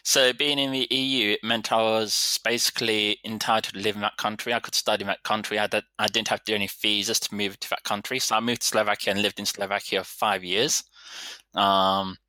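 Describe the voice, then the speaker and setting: Bright-voiced man in his thirties. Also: fairly low pitch, flat delivery, a little fast, smooth, dry recording. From London, England. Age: 30s. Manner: easygoing, rambling storyteller. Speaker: vet surgeon guest. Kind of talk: casual interview chat.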